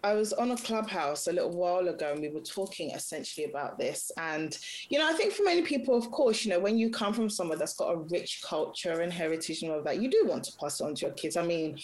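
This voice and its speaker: A woman's voice: on the low side, lively intonation, fast, darkish tone, low-quality recording. A woman in her thirties, a consultant and content creator, talking casually about her life with frequent laughs and restarts. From Nigeria (Yoruba background), raised in Britain. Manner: chatty, laughs often, many restarts. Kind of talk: casual personal interview.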